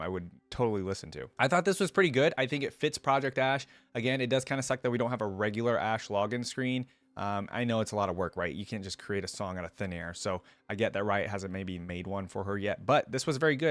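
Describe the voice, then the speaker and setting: A man's voice: medium pitch, no room sound. A man hosting a reaction video, commenting casually and informally as he muses and thinks aloud.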